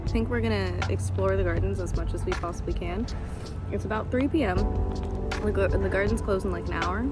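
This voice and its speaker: A woman vlogging in a casual, rambling way, stuffy and congested, with lots of fillers as she chats to the camera. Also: fairly low pitch. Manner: rambling and chatty, many fillers. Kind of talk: casual travel vlog chat. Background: loud background music.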